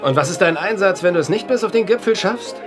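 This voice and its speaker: An actor in a German audio drama playing a learned, grumbling, anxious companion, speaking in a dry, wordy, mannered way. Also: male voice, sits high, lively intonation, fast, music in the background. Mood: amused.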